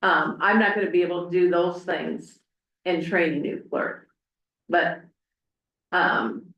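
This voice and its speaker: A woman's voice: lowish voice, fairly even intonation, measured pace. A woman speaking as a city clerk, explaining procedure at a public meeting in a council room. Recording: dull, echoey meeting room audio.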